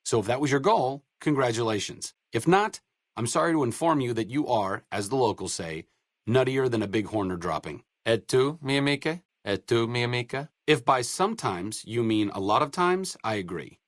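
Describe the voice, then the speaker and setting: An actor playing an idealistic, self-deprecating young wasteland doctor in a video game, speaking in an articulate, conversational way with dry wit. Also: male voice, mid-range voice, lively intonation, normal speed, no room sound, lightly acted. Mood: sarcastic, indignant, then wry, disappointed, then wry, pleading. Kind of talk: video game dialogue acting.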